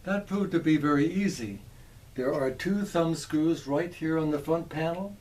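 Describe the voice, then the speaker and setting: Mellow, folksy man presenting a show-and-tell. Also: a little high, a little slow. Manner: folksy, theatrical exclamations, wry humour. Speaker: presenter, vintage radio collector.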